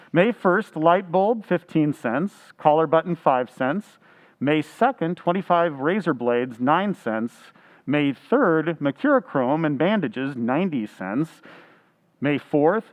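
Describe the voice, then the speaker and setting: A man's voice: on the high side, narrow, tinny audio. A man playing a naive, frugal, earnest bachelor in old-time radio comedy style, speaking with fussy precision.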